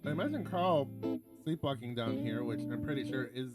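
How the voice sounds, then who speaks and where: A man's voice: medium pitch, expressive, brisk. A man streaming a game, reading aloud and chatting casually in a wry, sweary way, now and then stumbling over his words. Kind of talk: casual read-aloud commentary with asides. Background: guitar music from the game.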